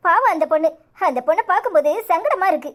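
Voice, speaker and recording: A woman's voice: very high voice, lively intonation, fast talker, a bit bright. A woman voicing a concerned, sensible young village woman, sympathetic and advising in a cartoon drama.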